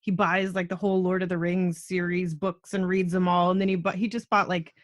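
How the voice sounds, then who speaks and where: A woman's voice: fairly low pitch, little pitch movement, a little fast, no room sound, studio quality. A woman hosting a podcast interview in a casual, effusive, informal way, often saying "like" and "super". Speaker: podcast host interviewing a guest.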